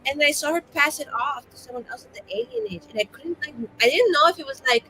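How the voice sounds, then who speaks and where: A woman's voice: high voice, lively intonation, fast, sharp tone, slight background noise, exaggerated voice, low-quality recording. A woman playing a chatty, earnest fantasy adventurer in improvised tabletop banter, rambling as she gossips. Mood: chatty, musing. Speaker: tabletop roleplay player.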